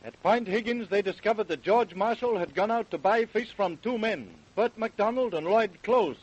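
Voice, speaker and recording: A man's voice: high voice, moderate pace, slight background noise. A man giving scripted narration for an old-time radio crime drama. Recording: dull vintage radio recording.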